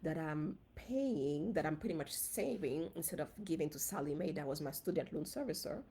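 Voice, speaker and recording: A woman from Haiti with a Haitian accent, a dentist giving an animated, casual livestream talk to her viewers on a phone. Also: sits low, normal speed, slight background noise. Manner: animated, talks straight to viewers. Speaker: dentist and finance coach.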